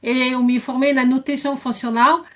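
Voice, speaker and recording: A woman's voice: high voice, flat delivery, normal speed, a bit bright. A French woman speaking with a French accent, a nutritionist asking a question in a live Q&A, searching for words as she goes. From France. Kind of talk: live online Q&A.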